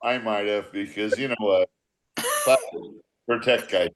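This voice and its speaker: A man's voice: lowish voice, steady tone, normal speed. An older man in a casual, profane group chat on a video call, reminiscing like an old baseball manager with blunt, teasing banter.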